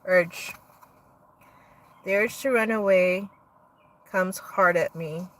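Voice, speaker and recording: A woman's voice: fairly low pitch, slow pace, a bit bright, recorded from a distance. A young woman hosting a livestream, reading her own poems aloud with casual asides between them.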